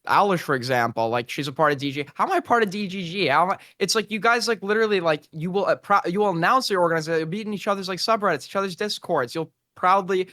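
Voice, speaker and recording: A man chatting casually as a guest on a live stream, halting and rewording as he goes. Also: high voice, bright, forceful tone, no room sound, clean studio sound. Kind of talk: casual chat.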